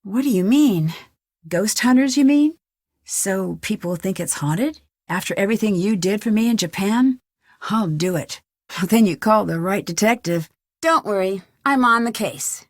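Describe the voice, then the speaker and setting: A woman's voice: medium pitch, expressive, unhurried, no echo. A young woman sleuth in a mystery game. She is earnest and inquisitive, with a mid-range voice and natural, polite delivery. The sound is close and dry, with no room around it. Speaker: video game voice actor. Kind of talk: scripted game dialogue, clear and natural.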